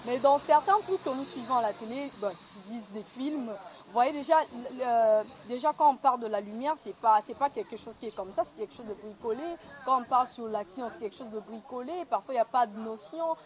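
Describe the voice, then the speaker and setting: A woman's voice: on the high side, fairly quick, few pauses. A young woman with a Congolese accent, answering conversationally as an interviewed film actress, recorded on noisy location sound. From Republic of the Congo.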